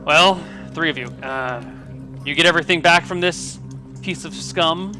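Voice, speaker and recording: Man doing gruff town guards, loud, high and barking, for a fantasy tabletop game, music behind. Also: normal speed.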